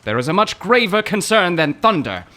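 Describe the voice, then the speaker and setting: Man playing a high-pitched, flustered poetry professor. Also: sing-song, normal speed, bright, forceful tone, heavily acted. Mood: agitated. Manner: florid, overwrought, stammering. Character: excitable, flustered male poetry professor, wordy and dramatic. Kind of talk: comic mystery audio drama acting.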